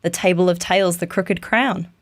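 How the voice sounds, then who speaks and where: A woman's voice: lowish voice, steady tone, normal speed. A woman, speaking as a podcast host reading out credits and thanks. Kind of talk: podcast sign-off.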